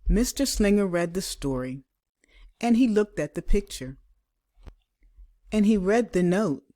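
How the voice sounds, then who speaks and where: A woman's voice: medium pitch, expressive, unhurried, mellow. A woman reading a children's picture book aloud like a teacher, with dramatic, emphatic delivery. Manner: dramatic, emphatic delivery with acted character voices. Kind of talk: expressive children's storybook read-aloud.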